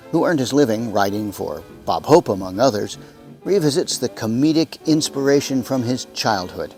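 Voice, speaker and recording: Animated male host with mid-pitched voice. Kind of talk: scripted introduction.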